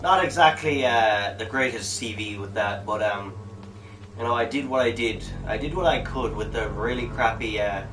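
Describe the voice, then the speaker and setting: A man's voice: mid-range voice, moderate pace, recorded from a distance, noisy background. A man from Ireland chatting casually while streaming a game, rambling and joking as he plays. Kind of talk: casual gameplay commentary. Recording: roomy, off-mic, game music behind.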